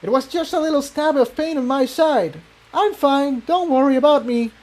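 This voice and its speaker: A man voicing a young female pony, excitable and wry, in a story reading. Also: extremely high pitch, normal speed, pitched above the performer's own voice. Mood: hysterical, reassuring.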